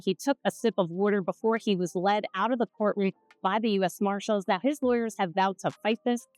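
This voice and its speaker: A woman news reporter gives a broadcast report in a mid-pitched voice. There is faint music underneath.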